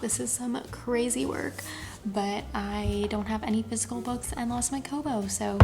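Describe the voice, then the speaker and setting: A woman's voice: on the high side, a little mellow. A young woman talking casually as a book vlogger, chatty and filling her sentences with "like". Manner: chatty, with frequent "like" fillers. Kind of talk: casual vlog talk.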